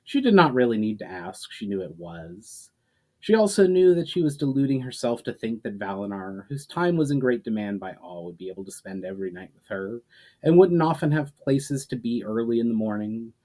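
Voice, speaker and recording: Male author-narrator, mid-pitched voice, reading his own fantasy fiction as a dramatic storytime. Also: darkish tone. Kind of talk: dramatic reading of a fantasy novel, with informal introduction.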